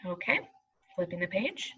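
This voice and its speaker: A woman's voice: fairly low pitch, very animated, moderate pace, bright, forceful tone, slightly rough. A woman teaching young pupils, reading aloud and giving reassuring instructions with frequent checking questions, on a slightly muffled recording. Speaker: elementary school teacher.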